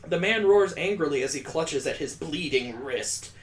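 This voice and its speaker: A man's voice: fairly high pitch, expressive, moderate pace, a little hiss or hum. A man on a stream reading a visual novel aloud, breaking off for crude, joking asides and mock sound effects.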